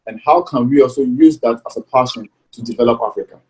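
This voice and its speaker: A man hosting an interview show, speaking in a formal, deliberate announcing manner. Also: mid-range voice, low-quality recording. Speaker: show host.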